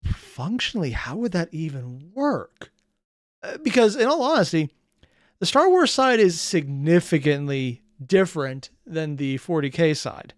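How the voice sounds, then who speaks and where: A man with a clear, smooth voice giving casual, musing off-the-cuff commentary as a reaction host, close to the mic. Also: on the high side, big pitch swings, unhurried, dry recording. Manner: musing, thinking aloud, questioning.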